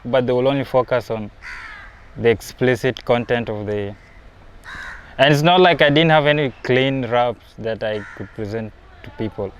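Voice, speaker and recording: A young man from South Sudan telling his story in a documentary interview. He is reflective and grows animated when he talks about performing. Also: mid-range voice, slight background noise. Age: young adult.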